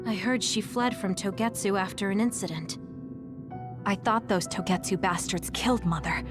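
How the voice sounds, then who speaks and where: A woman's voice: medium pitch, moderate pace, over music, lightly acted. An actress playing a defiant, grieving young woman fighter in a video game cutscene. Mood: bitter.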